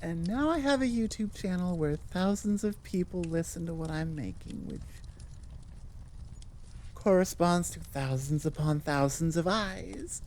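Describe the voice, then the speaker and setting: A woman's voice: fairly low pitch, measured pace, a little mellow. Woman chatting casually over background noise. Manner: self-deprecating, wandering and tangential. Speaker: audio roleplay creator and channel host. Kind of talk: casual rambling outro chat. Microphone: sitting at a computer microphone, recording into audio software.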